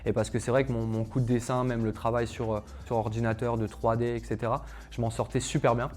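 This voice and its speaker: A man's voice: mid-range voice, little pitch movement, fairly quick, darkish tone. A young man, a calisthenics coach, telling his personal story to camera in a casual, chatty way, with lots of fillers and asides to viewers. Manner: chatty, filler-heavy, addresses viewers directly.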